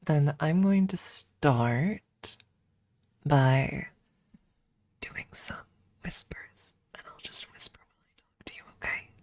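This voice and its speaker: A woman with a low-pitched voice hosts a casual ASMR stream at a very leisurely pace. She sits close to the microphone and often drops into a whisper, talking informally as if thinking aloud. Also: animated, slow pace, long pauses, darkish tone, dry recording. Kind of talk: casual ASMR livestream chat. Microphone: close microphone adjusted for ASMR.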